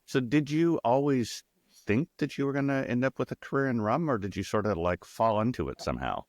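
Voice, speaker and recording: A man's voice: mid-range voice, lively intonation, fairly quick, dry recording, clean studio sound. A man hosting an interview, asking upbeat questions with occasional stammers. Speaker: interview host. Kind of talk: interviewing a guest.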